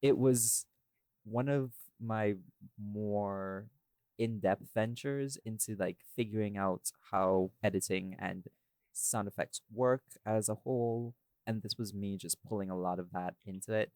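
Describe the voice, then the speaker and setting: Low-voiced man joking casually, dry close sound. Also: a little slow, darkish tone, no room sound. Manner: self-deprecating, jokey, profane. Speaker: podcast host, audio creator and voice actor. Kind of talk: casual behind-the-scenes chat.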